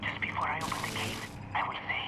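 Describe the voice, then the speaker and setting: A man's voice: very fast, noisy background, lightly acted. A man playing a covert agent in a video game, giving clipped, formal instructions to a partner under pressure. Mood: hushed, urgent. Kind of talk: video game voice acting, mission briefing.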